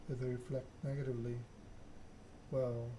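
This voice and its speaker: An aloof, scheming vampire lady as a man reads her for ASMR speaks formal game dialogue with deliberate condescension. The pitch is middling and barely varies, over clearly audible noise. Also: little pitch movement, close to an everyday voice. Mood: coolly bargaining.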